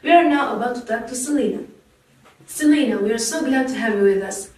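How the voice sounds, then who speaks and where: A woman's voice: a little high, a little fast, darkish tone, close to an everyday voice. A woman playing a formal talk show host in an echoey room. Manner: formal, announcing. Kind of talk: scripted talk show hosting. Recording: echoey room, far from mic.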